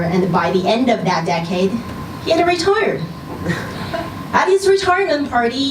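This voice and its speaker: A woman reading a short story aloud at a live event, with measured, expressive storytelling. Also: on the high side. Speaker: story reader. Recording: roomy, distant, noisy live event recording.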